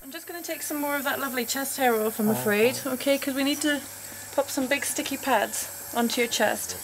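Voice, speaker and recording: A woman speaks briskly and kindly to a patient as an emergency nurse. Her voice is slightly high and gravelly. Clear hospital room noise sits behind her. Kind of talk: talking with a patient.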